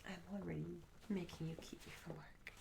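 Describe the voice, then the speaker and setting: A woman playing a curious, polite medieval barmaid in a soft, breathy whispered ASMR roleplay, hesitant and courteous with old-fashioned formal phrasing. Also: lowish voice, fairly even intonation, normal speed, noisy background. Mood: attentive, curious. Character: curious, polite young medieval tavern barmaid, eager for tales.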